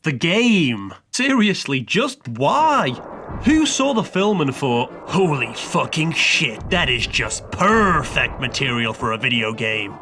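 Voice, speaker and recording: Male countdown reviewer, slightly high and very animated, sarcastic and mock-dramatic scripted narration. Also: takes it slowly, a little hiss or hum. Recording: music playing behind the voice. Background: background music.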